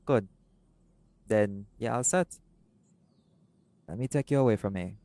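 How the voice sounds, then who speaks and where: A man voicing a doting, laid-back seagull shifter boyfriend in an intimate audio roleplay, speaking softly and affectionately. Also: on the low side, a little slow, a little hiss or hum. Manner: soft-spoken, affectionate, creole-inflected speech.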